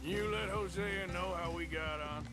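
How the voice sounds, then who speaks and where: A man acting a gruff, cynical outlaw with an in a video game, dry and menacing. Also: sits high, moderate pace, natural voice. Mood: tired, curt. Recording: music behind the voice. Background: music.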